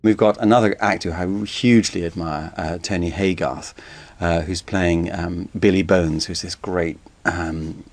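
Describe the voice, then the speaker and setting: Deep-voiced man in a halting interview. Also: steady tone, soft background music. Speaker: actor. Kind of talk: interview about a production.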